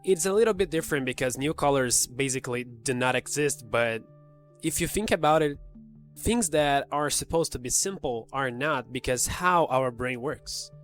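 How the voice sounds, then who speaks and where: A man's voice: mid-range voice, expressive, moderate pace, soft background music. A man with a slight cold, hosting a casual explainer and talking straight to the viewer. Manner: conversational, talks directly to the viewer. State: has a cold, voice a little off. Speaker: video host explaining psychology.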